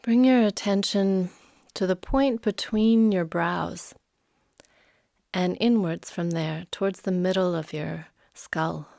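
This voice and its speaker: A woman leading a guided meditation as a yoga nidra teacher, soothing and unhurried, leaving long pauses. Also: fairly low pitch, gravelly, darkish tone, no room sound, clean studio sound.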